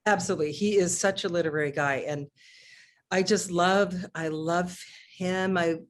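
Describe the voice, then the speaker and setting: Low-voiced woman chatting on a video call. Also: normal speed. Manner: chatty, restarts often, animated. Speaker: singer and guest. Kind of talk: casual video interview. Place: home living room.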